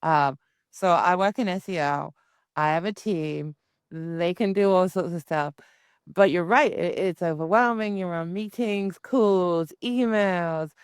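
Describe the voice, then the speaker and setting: A woman's voice: lowish voice, unhurried, clean tone, dry recording, clean studio sound. A woman hosting a podcast interview, chatty and thinking aloud. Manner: chatty, talks through ideas aloud. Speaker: podcast host. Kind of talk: casual interview chat.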